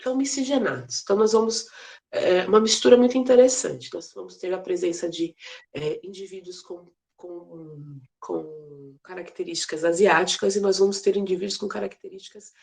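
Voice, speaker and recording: A woman's voice: fairly low pitch, normal speed, gravelly, a little mellow. A woman teaching a casual geography class, chatty and playful, with many fillers and restarts. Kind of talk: casual online class lecture.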